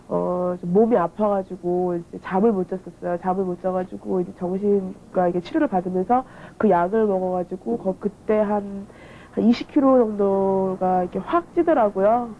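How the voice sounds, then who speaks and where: A woman in her twenties giving a casual interview account as a patient. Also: mid-range voice. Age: 20s. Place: small clinic room. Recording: phone-like muffled tape, faint noise.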